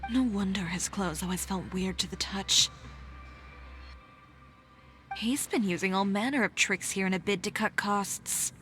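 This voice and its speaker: Actress as a composed designer, mid-pitched, measured and calm, faint game music under her. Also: lightly acted. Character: composed female fashion designer, adult.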